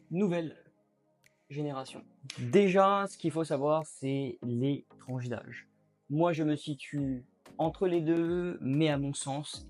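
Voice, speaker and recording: Man, late twenties, YouTube explainer, mid-pitched and reflective, faint music bed. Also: a little mellow. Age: late 20s. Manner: reflective, addresses viewer directly. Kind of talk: casual explainer at a whiteboard.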